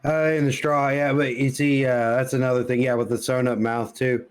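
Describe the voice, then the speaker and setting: A man's voice: medium pitch, level tone, normal speed, clean tone. A man chatting informally as a podcast co-host, animatedly retelling dialogue, with casual swearing. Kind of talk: casual pop-culture podcast chat.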